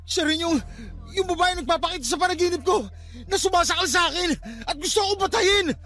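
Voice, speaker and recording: Frantic, very high-pitched haunted young Filipino. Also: male voice, fairly quick, sharp tone. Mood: panicked. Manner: frantic, insistent. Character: terrified, haunted young man, desperate to be believed. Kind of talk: radio drama acting. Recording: music bed behind the voice.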